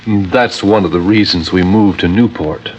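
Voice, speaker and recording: An actor playing a mild, genteel middle-aged husband, a former schoolteacher, courteous and hesitant, in an old-time radio drama. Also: male voice, deep voice, normal speed, darkish tone, close to an everyday voice. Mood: mild, reserved. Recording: dull, faintly noisy vintage radio recording.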